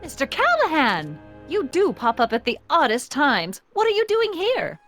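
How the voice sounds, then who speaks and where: A prim, bossy young woman in a video game. Her voice is high and swings widely, precise and haughty, with light music behind it. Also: wildly swooping pitch, moderate pace, a bit bright, heavily acted. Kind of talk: animated video game voice acting.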